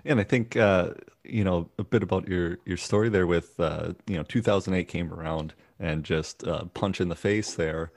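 Low, gravelly podcast host. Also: male voice, normal speed, no echo, studio quality. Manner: hesitant, full of fillers like "you know" and "um". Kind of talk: casual podcast interview.

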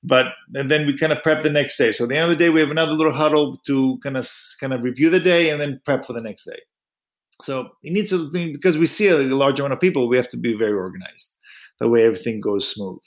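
A middle-aged man who grew up in Greece answers questions about his work as an orthodontist. He talks in a loose, halting way, starts sentences again and fills gaps with "like" and "um". His pitch sits in the usual middle range for a man. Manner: halting, many restarts and fillers. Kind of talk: interview answers.

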